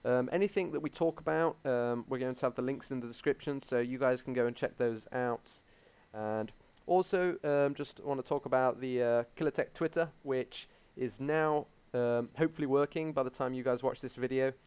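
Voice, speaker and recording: A man's voice: medium pitch, normal speed, a little mellow, smooth. A young man hosting a casual tech podcast, talking hesitantly with many ums. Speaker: podcast host.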